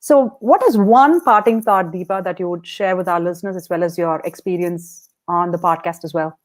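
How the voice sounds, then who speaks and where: A woman hosting a podcast interview, chatty and upbeat, asking quick questions and reading out listener comments. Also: lowish voice. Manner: upbeat, chatty, laughs easily, fast promotional reminders. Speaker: podcast host.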